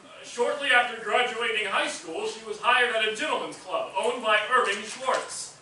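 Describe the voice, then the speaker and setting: Actor as a high, bright fumbling narrator. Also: male voice, normal speed, recorded from a distance, exaggerated voice. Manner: hesitant, fumbling. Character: clueless, fumbling male stand-in narrator reading exposition. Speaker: narrator in a staged reading. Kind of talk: comedic stage narration. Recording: echoey stage recording from afar, faint noise.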